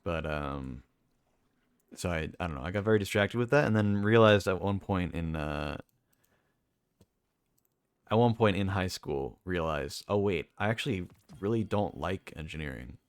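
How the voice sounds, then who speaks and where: Deep-voiced young man, rambling. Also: expressive, moderate pace, clear, resonant tone, dry recording, studio quality. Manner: rambling, self-correcting, many fillers. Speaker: streamer. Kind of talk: casual rambling monologue.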